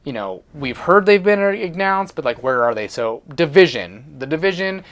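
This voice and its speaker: A man co-hosting a casual entertainment news discussion, speaking informally and a little haltingly with repeated words. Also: on the high side, big pitch swings. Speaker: co-host of a video game and movie discussion show.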